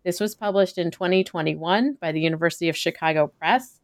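Podcast host lady, smooth low-ish voice, dead dry sound, measured intro. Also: no echo.

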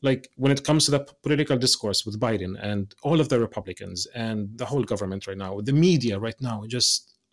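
A young adult man from the West Bank, speaking as an interview guest in an earnest, passionate way, often restarting and dropping to quiet asides. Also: lowish voice, brisk. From West Bank, Palestine. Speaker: podcast guest.

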